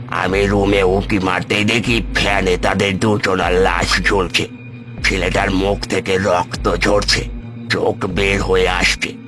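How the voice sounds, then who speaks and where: A solemn, elderly male caretaker from a cartoon horror story, put on by a male narrator. The voice is low and barely varies in pitch as it grimly recounts. Music sits clearly behind it. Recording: music under the voice.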